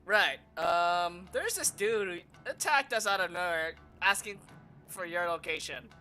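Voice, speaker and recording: A woman's voice: fairly low pitch, lively intonation, unhurried, pushed and bright. A woman streaming a video game, reacting casually with short asides and reading dialogue aloud. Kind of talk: casual live gameplay reaction. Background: faint game music.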